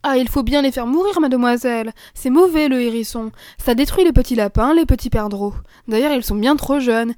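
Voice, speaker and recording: A stubborn old country gamekeeper hollers in a blunt, rustic way, as a woman narrator puts him on. The voice is loud and raised rather than deep, close and dry like a storybook reading. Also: a little fast, hardly any pauses, no echo, close to an everyday voice.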